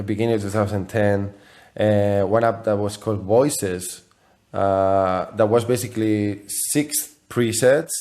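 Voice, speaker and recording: Low-pitched man, non-native English speaker, interviewed. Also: a little mellow. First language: not English. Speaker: interview guest, software company CEO. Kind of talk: conversational interview storytelling.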